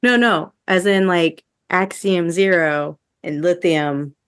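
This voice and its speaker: A woman co-hosting a casual podcast discussion, explaining her ideas in a measured, tentative way. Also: on the low side, clear, resonant tone, no room sound, clean studio sound. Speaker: podcast co-host.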